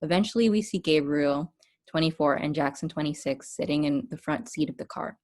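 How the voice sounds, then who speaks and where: A woman's voice: sits low, brisk, a little mellow. A woman reading stage directions as scripted narration for a table read.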